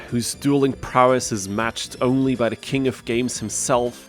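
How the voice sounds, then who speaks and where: A man with a medium-pitched voice narrates gameplay from a script. He is wry and sarcastic, in the manner of a gaming YouTuber. Faint music plays behind him. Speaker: video game YouTuber.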